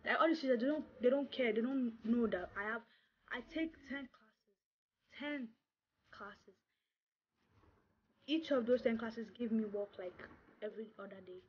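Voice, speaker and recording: A woman's voice: a little high, normal speed, pauses often. A young woman from Nigeria with a Nigerian accent, chatting casually to camera as a vlogger, stressing points by repeating words. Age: young adult. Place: small room indoors. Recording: dull lo-fi sound, clear background noise.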